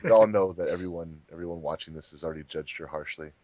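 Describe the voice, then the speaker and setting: Low-voiced man hosting a commentary. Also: fast, dark tone, no room sound, dull audio. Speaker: host of a fan dub commentary track. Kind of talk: casual group commentary chat.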